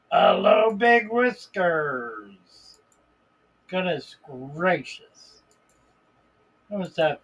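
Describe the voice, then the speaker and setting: A man hosting a live stream in a relaxed, unhurried way, greeting viewers by name and reading their comments aloud. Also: on the high side, slightly rough, dull audio. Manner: relaxed, unhurried, chuckles between remarks. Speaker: livestream host.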